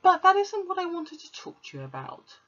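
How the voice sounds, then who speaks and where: A woman's voice: extremely high pitch, huge pitch swings, fairly quick, a bit bright, pitched above the performer's own voice, full character voice. A woman putting on an earnest little girl. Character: small clever girl, earnest and serious. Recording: slightly muffled recording.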